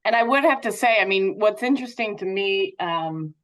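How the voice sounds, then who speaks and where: A woman's voice: mid-range voice, lively intonation, moderate pace, a bit bright. A North American woman speaking as an interview guest, reflecting candidly and informally as she thinks aloud. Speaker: patient advocate guest.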